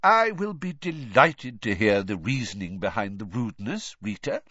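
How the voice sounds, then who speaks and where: A man voicing a courteous old male wizard headmaster with a dry wit, speaking in a measured way, in a dull, dry recording. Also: fairly low pitch, big pitch swings, sharp tone, no room sound, heavily acted. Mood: courteous, wry.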